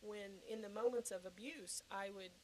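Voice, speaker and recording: A woman's voice: mid-range voice, moderate pace, darkish tone, a little hiss or hum, poor audio. Earnest woman telling her personal story. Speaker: podcast guest giving personal testimony. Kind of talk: personal testimony, conversational storytelling.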